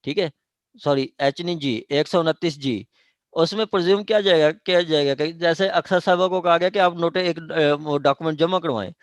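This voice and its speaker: A man with a Pakistani accent explaining law to a class informally and haltingly, often checking that they follow. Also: on the high side, dry recording. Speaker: law lecturer. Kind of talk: informal classroom legal explanation with question and answer.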